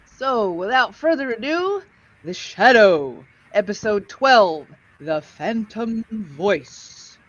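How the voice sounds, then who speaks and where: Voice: mid-range voice, expressive, takes it slowly, fairly bright tone, highs cut off. A host casually presenting and reading aloud to a live audience, drawing out dramatic words with theatrical emphasis. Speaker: host of an old-time radio show stream.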